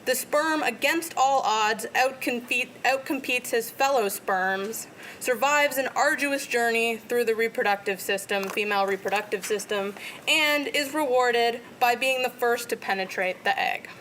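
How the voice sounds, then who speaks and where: A woman giving an academic lecture in a lecture room, with a loud voice and a lively way of explaining. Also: a little high, unhurried, slight background noise, poor audio. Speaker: guest lecturer. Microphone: podium microphone.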